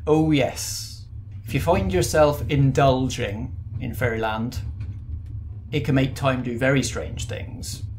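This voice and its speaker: A man's voice: medium pitch, slow pace, a little mellow, a little hiss or hum. A man voicing a fussy, polite ghost train conductor who is genteel, rambling and easily offended. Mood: explaining.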